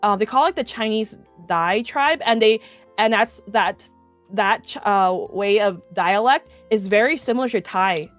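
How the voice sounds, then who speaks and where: A woman's voice: mid-range voice, a little slow, soft background music. A young woman chatting casually, rambling with lots of "like". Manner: rambling, many fillers and restarts. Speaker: vtuber. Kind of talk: casual chat.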